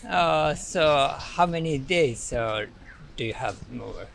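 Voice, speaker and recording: A woman interviewer with a Japanese accent asks short, simple questions in halting English and fills gaps with quick acknowledgements. Her voice is strikingly low for a woman. Faint outdoor noise sits behind her. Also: animated, creaky. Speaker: street interviewer.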